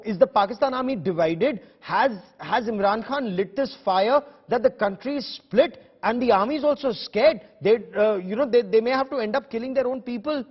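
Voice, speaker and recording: A man's voice: extremely high pitch, normal speed, few pauses, slightly rough, lo-fi sound. A man with an Indian accent hosting a live TV news debate, putting questions insistently and repeating himself for emphasis. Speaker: news show host.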